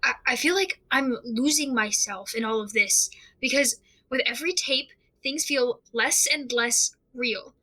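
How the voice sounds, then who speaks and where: An actress playing a frightened, isolated young investigator in an audio drama monologue, speaking haltingly and uneasily with restarts. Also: female voice, sits high, sharp tone, heavily acted. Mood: sad, lost. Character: frightened isolated young investigator unravelling over mysterious tapes.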